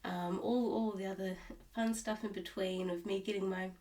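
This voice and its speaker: A stuffed-up, nasal Australian woman chatting to camera at home about her hobby. She rambles and restarts her sentences often, and her voice sits at an ordinary pitch. She sounds a little distant from the microphone. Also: steady tone, moderate pace, dark tone. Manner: rambling, with many restarts and fillers. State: nasal and stuffed up from a cold-like illness. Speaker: cross-stitch vlogger. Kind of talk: casual chat to camera. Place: at home, indoors.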